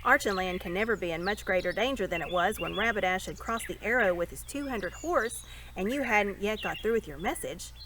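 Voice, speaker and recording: A woman's voice: medium pitch, a little fast, natural voice. A woman voicing a spirited, forthright young noble girl from a storybook, in an impulsive manner. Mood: delighted, impulsive. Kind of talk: storybook character voice. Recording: birdsong and ambient noise behind.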